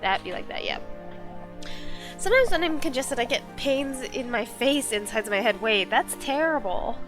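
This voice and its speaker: A woman's voice: a little high, animated, moderate pace, music in the background. Congested woman chatting on a live stream. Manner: chatty, rambling, many fillers. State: congested, slight cold. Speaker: streamer playing a visual novel. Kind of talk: casual live-stream chat.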